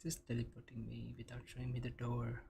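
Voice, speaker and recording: A woman's voice: very deep voice, monotone, a little fast, creaky, background noise. A woman giving casual reaction commentary while playing a video game, in short muttered asides.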